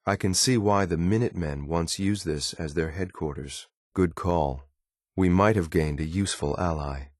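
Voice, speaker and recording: A deep-voiced male android soldier from a video game, acted out by a man, cold and disciplined. He speaks in clipped, formal phrases with curt authority. The recording is dry and close, with no room around the voice. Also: normal speed, darkish tone, no room sound, close to an everyday voice. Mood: grave, resolute. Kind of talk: scripted video game voice lines.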